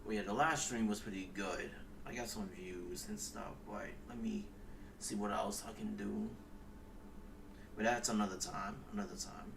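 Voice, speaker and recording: A man's voice: fairly even intonation, moderate pace. Muttering male streamer, distant noisy mic. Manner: muttering, low-key, talking himself through tasks. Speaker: video game streamer. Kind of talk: casual livestream gameplay commentary, half to himself. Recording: distant mic with clear background noise.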